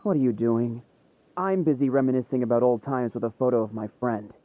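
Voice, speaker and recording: A man's voice: medium pitch, a little fast, narrow, tinny audio, slight background noise, natural voice. An actor playing a theatrical, possessive and spiteful guard in a video game, taunting and mocking in his delivery. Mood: irritated.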